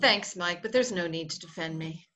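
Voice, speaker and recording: A woman's voice: lowish voice, moderate pace, sharp tone. A woman acting a proud, agitated and self-mocking upper-class bride in a radio play, theatrical and emotional. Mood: hurt, resolute. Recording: noticeable background noise.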